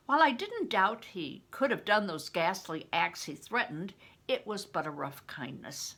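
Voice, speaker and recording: An older woman reading a story aloud in a deliberate, dramatic, teacherly way, with chatty explanatory asides. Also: medium pitch, a bit bright.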